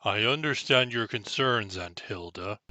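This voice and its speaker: Man acting a slow, low, courtly vampire boyfriend. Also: expressive, pushed and bright, exaggerated voice. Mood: solemn, courteous. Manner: formal old-fashioned phrasing, measured delivery. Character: courteous male vampire boyfriend, soothing and devoted. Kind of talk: romantic audio roleplay acting.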